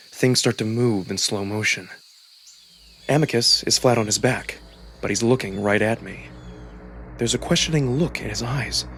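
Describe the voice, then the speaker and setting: A man's voice: mid-range voice, a bit bright, a little hiss or hum. A man narrating a visual novel in the first person, theatrical and immersed in the story. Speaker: narrator and voice performer. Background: music under the voice.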